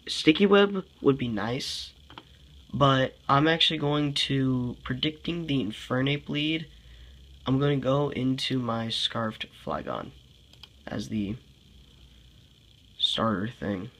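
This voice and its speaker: A man's voice: mid-range voice, takes it slowly, long pauses, a bit bright, rough recording. A young man with a congested voice giving casual game commentary, thinking aloud, sighing often and sounding frustrated. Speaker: gameplay commentator.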